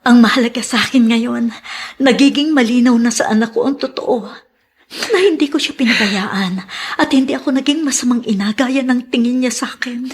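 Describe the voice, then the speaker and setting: A wronged Filipino mother in a radio melodrama. Her voice is high, and her delivery is earnest and emotional, that of a loving woman who has suffered long. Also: moderate pace, breathy edge. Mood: gentle, earnest.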